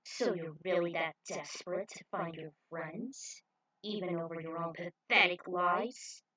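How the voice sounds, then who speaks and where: A sneering, cruel female villain, as a woman reader puts her on. She drags out her taunts slowly and leans on them with contempt. Her lines have a storybook-villain feel. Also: on the low side, pushed and bright, rough recording.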